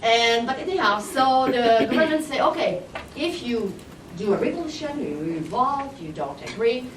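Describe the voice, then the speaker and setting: A woman's voice: fairly high pitch, animated, moderate pace. A woman with a French Canadian accent, French her first language, giving a friendly, self-deprecating talk. She sounds distant from the mic, with a roomy echo and a constant hum of noise behind her. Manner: chatty, self-deprecating, searches for words. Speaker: presenter. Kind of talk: conference presentation. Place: conference room. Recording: echoey hall, distant mic, audience noise.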